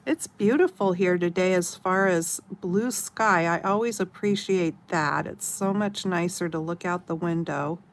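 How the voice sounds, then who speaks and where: A woman's voice: fairly low pitch, unhurried, hardly any pauses, a little hiss or hum. A middle-aged woman from Oregon, chatting casually with live viewers as a craft channel host, rambling and giggling often. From Oregon, USA.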